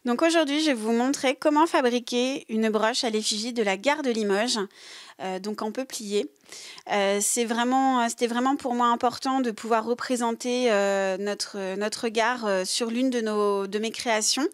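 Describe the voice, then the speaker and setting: Halting, filler-heavy French craft woman. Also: fairly high pitch, dry recording, clean studio sound. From France. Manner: hesitant, many fillers. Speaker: craft maker. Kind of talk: workshop demonstration talk. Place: craft workshop.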